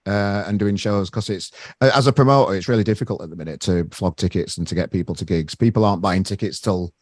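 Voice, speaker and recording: A man's voice: sits low, fast talker, darkish tone, no room sound, studio quality. A man from Sheffield with a northern English accent, interviewing a band in casual chat and often restarting his sentences. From Sheffield, England. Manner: chatty, restarts sentences, says mate. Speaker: interviewer and gig promoter.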